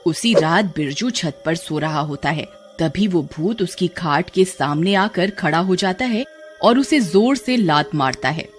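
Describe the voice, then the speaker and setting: Lively cartoon story narrator. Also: mid-range voice, measured pace, faint music behind. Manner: steady storytelling.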